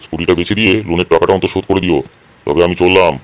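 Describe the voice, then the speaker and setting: A man's voice: deep voice, flat delivery, rapid-fire, smooth, close to an everyday voice. A man voicing a stern, bossy middle-aged village headman in a comedy cartoon, questioning and laying down the law with authority. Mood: authoritative. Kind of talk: amateur comedy cartoon dub.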